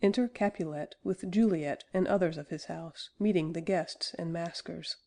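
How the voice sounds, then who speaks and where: Mellow, lowish-voiced woman narrator. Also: normal speed, creaky. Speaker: narrator reading stage directions. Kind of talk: scripted narration.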